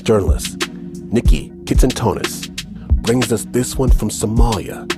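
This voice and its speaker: A man's voice: fairly low pitch, unhurried. A man hosting a podcast, delivering a scripted story intro with theatrical, dramatic phrasing. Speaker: podcast host. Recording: loud music under the voice. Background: hip hop music.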